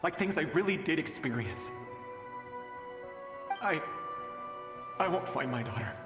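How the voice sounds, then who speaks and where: A man playing a weary, doomed father from an ancient age in a dramatic game scene, speaking in a halting, resigned, reflective way. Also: on the high side, sing-song. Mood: troubled. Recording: echoey hall reverb, music behind. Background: music.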